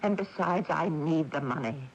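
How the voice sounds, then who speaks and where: A woman playing a spirited, practical homeowner in a radio drama, speaking in a deliberate, emphatic, theatrical way. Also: sits low, slight background noise, rough recording. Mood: firm.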